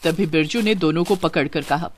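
A woman's voice: deep voice, moderate pace, breathy edge. A woman narrating a scripted moral story.

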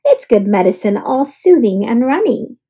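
A woman's voice: fairly high pitch, sing-song, normal speed, warm tone, breathy edge. A woman voicing a kind, helpful young boy in a storybook, exclaiming and fussing earnestly. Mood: sympathetic.

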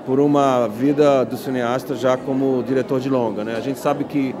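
Man, mid-pitched voice, thoughtful explanation, crowd chatter behind. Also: steady tone, normal speed. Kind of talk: documentary interview.

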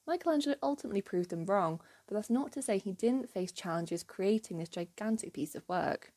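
A woman hosting a history channel, giving a clear, measured scripted narration with an enthusiastic storytelling manner. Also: medium pitch, expressive, warm tone.

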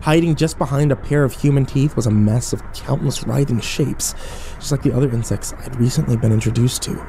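A man playing an overwhelmed, foul-mouthed young man who narrates his ordeal haltingly in a horror audio drama. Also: medium pitch, mellow, a little hiss or hum, lightly acted. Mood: shaken, bewildered. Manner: halting, self-interrupting, swearing, incredulous.

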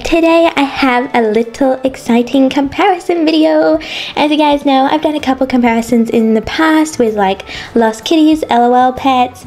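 A woman's voice: high-pitched, darkish tone. A woman, congested from a cold, chatting bubbly and self-mockingly through a toy unboxing vlog. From Australia. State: stuffy nose from a cold. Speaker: toy reviewer. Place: indoors at a tabletop toy set.

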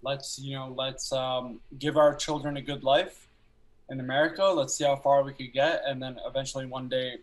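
A young man who grew up speaking Albanian, a filmmaker talking about his work as a guest on a video call, telling stories in a relaxed way with pauses to think. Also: mid-range voice. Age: young adult. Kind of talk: casual interview chat. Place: small room at home.

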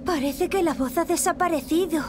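A woman's voice: very high-pitched, normal speed, background noise. A woman voicing a small, cautious young snowman boy in a video game, childlike, with a Castilian Spanish accent. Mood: puzzled. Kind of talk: video game cutscene acting. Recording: behind loud game music.

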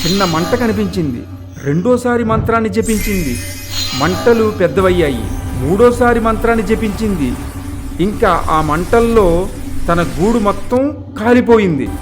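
A man's voice: sits high, lively intonation, normal speed. A man narrating a scripted moral tale as a storyteller. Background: music.

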